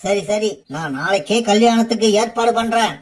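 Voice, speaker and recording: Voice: medium pitch, moderate pace, background noise, natural voice. Actor doing a cartoon bird parent, grown up, earnest n a bit stern. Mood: sceptical. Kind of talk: children's cartoon voice acting.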